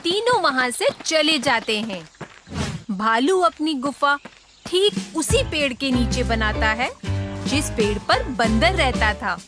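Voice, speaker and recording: A woman's voice: high voice, very animated, slow pace, music in the background. A woman giving scripted narration of a children's story.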